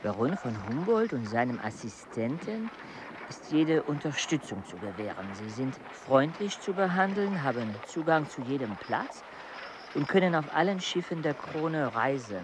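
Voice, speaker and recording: A man's voice: fairly high pitch, very animated, moderate pace, background noise. An actor playing an easygoing, lustful French assistant who recounts his travels wryly in a radio play. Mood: reading formally. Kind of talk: German radio play acting and narration.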